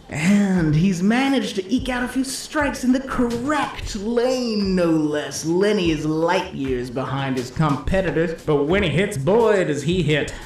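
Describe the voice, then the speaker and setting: An actor playing a slick, cheeky 1950s disc jockey who calls a bowling game with showy hepcat patter and drawn-out flourishes. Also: male voice, high voice. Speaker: play-by-play announcer. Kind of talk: 1950s radio-style sports commentary. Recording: roomy echo, music underneath.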